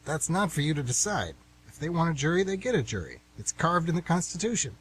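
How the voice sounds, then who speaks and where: A man playing an indignant, civic-minded older gentleman on jury duty in an amateur radio play, with a lecturing manner. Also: fairly high pitch, very animated, brisk. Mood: righteous. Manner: lecturing, righteous. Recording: amateur radio, faint background hum.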